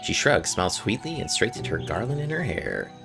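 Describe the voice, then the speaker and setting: Guy doing casual gameplay commentary, some vocal fry, faint music behind, reads game text out. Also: fairly low pitch, sing-song, a little fast. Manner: informal. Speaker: gameplay video host.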